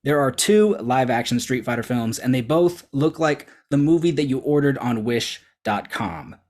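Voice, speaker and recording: Sarcastic man arguing his case, mid-pitched. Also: expressive, moderate pace, darkish tone, soft background music. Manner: sarcastic, emphatic, casually sweary. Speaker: debater on a movie show. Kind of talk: prepared persuasive argument with sarcastic jabs.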